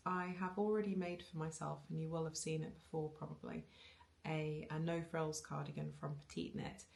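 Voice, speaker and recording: A woman in her thirties talks casually into a camera in her living room, her voice low and touched with vocal fry. She rambles along with ums and restarts, breaking into small laughs. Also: little pitch movement, normal speed, a little mellow. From Wales. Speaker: knitting vlogger. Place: living room with bookshelves, indoors. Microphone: camera on a tripod facing her.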